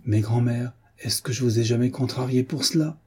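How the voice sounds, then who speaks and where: A man's voice: mid-range voice, moderate pace, a little mellow, husky, close to an everyday voice. A man voicing a devoted, hurt young granddaughter in an audiobook, earnest and questioning. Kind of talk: audiobook character voice.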